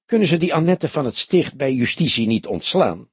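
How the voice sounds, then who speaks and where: A man reads as a young detective sidekick, pitched up and husky. He is eager and curious, pressing with emphatic questions in an audiobook story. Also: expressive, moderate pace, a little mellow, no echo. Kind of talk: audiobook character dialogue.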